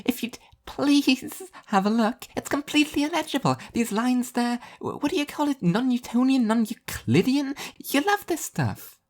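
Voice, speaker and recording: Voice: high-pitched, very animated, normal speed, few pauses, pitched above the performer's own voice. A storyteller voicing a bubbly, ditzy female angel who chatters in an airy, eager, scatterbrained way. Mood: pleading, eager.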